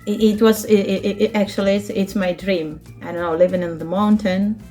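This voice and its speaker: Woman, 30s, Ukrainian accent, native Russian and Ukrainian speaker, halting speech, frequent laughter, casual interview, faint background music. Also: medium pitch, steady tone, mellow. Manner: halting, searching for words, laughs often. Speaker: interview guest, children's book translator.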